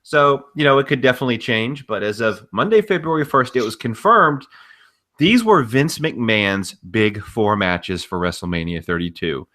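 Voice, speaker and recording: A man's voice: mid-range voice, animated, normal speed, sharp tone. A man co-hosting a casual wrestling news podcast, blunt, opinionated and freely profane. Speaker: podcast co-host.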